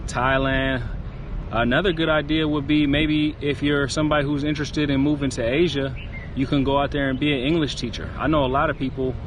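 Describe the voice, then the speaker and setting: Young man vlogging outside. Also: medium pitch. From East Bay Area, California. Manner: rambling, reflective, frequent uh fillers. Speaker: fitness vlogger. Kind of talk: casual vlog talk to camera. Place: outdoors by a city convention center. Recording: outdoor street noise, cars passing. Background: city traffic noise.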